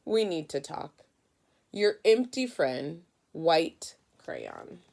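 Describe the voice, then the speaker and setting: Woman reading as a sad left-out crayon in a picture book, plaintive, slow, creaky. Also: sits low, huge pitch swings, darkish tone, full character voice. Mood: forlorn. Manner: plaintive, dejected.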